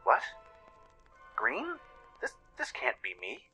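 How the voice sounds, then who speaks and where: Guy doing a confused young girl pony in an audio drama, stammery n unsure, pitched up, music under it. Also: wildly swooping pitch, takes it slowly, sharp tone, full character voice. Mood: alarmed disbelief. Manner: hesitant, stammering. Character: confused young female pony waking from stasis.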